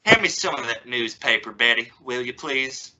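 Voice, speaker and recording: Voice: mid-range voice, expressive, moderate pace, dull audio, close to an everyday voice. A woman playing a flustered, impatient middle-aged father in an old-time radio family comedy, stammering in exasperation. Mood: exasperated. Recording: noisy recording with clicks.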